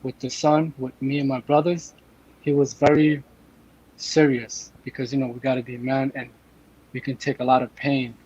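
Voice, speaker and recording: A man's voice: mid-range voice, flat delivery, normal speed, a little mellow, slightly rough. A man in his thirties from Afghanistan, a guest telling earnest stories over a remote call. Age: 30s. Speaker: podcast guest. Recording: dull remote call audio with faint noise.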